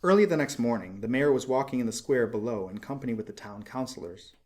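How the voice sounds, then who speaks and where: A male audiobook narrator with a mid-pitched voice reads a literary tale. His delivery is expressive yet measured, with each phrase set out deliberately.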